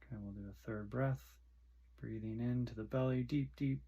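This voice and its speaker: A man guiding a meditation in short, soothing phrases with long pauses between them. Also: medium pitch, fairly even intonation, mellow, muffled recording, slight background noise. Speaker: meditation guide. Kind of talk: guided meditation instruction.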